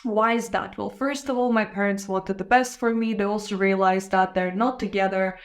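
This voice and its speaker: A woman's voice: mid-range voice, steady tone, moderate pace. Candid young woman host, foreign-accented English. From Israel. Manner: candid, reflective, soft laughs. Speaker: podcast host. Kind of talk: casual personal monologue to camera.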